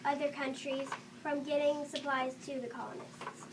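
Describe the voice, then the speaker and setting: A woman's voice: high voice, flat delivery, moderate pace. A young female student making points in a classroom debate. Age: child or young student. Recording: distant mic, clearly noisy room.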